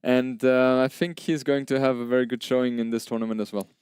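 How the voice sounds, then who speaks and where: Clear, conversational male esports commentator. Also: mid-range voice, little pitch movement, brisk, a little mellow, no echo, studio quality. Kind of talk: live tournament commentary.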